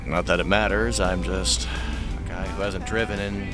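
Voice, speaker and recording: An actor playing a nervous, affable sidekick in an audio drama, hesitant and rambling, with a wry edge. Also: male voice, low voice, fairly even intonation, normal speed, over loud music, close to an everyday voice. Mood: rambling, uneasy.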